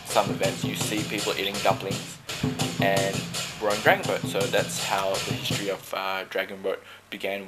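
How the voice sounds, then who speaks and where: A man from Malaysia who talks about his team sport in relaxed, joking interview answers. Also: lowish voice, rough recording. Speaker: dragon boat paddler.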